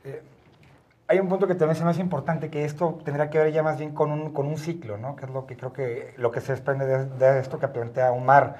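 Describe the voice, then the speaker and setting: A man's voice: a little high, steady tone, brisk, darkish tone, highs cut off. A man with a Mexican accent reflects in a panel discussion, hesitant and repeating words as he searches for phrasing.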